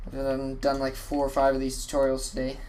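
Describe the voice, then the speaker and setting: A man's voice: medium pitch, completely flat delivery, fairly quick, a little mellow, slight background noise, rough recording. A man hosting a casual how-to walkthrough, talking in a rambling, offhand way and correcting himself often, his voice tired and a little worn. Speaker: tutorial host.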